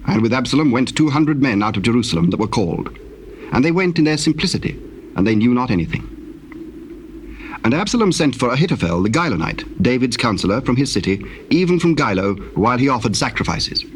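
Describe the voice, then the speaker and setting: A British man narrates scripture solemnly and formally. His medium-pitched voice comes with clear background noise, like an old cassette recording. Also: very animated. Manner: formal, measured storytelling. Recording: noisy old cassette tape with ambience.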